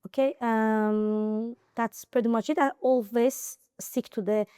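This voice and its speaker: A woman's voice: on the high side, fairly even intonation, takes it slowly, warm tone, smooth, no echo, studio quality. A young woman from Azerbaijan with a Nakhchivan accent in English, an interview guest giving earnest study advice with frequent fillers and restarts. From Azerbaijan, Nakhchivan region. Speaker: interview guest, IELTS high scorer. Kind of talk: casual interview conversation.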